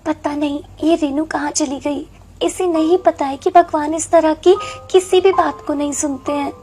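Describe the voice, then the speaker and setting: Caring, resigned eighteen-year-old sister, voiced by a woman, gentle storybook delivery, high voice over faint music. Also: steady tone, moderate pace. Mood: sad, resigned.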